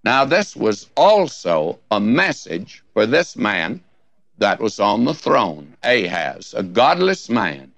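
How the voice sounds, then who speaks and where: An older man teaching the Bible on radio, with a scratchy hoarse voice and a slow, folksy, emphatic delivery that lifts on key words. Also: fairly low pitch, sing-song, a bit bright, no room sound, dull audio.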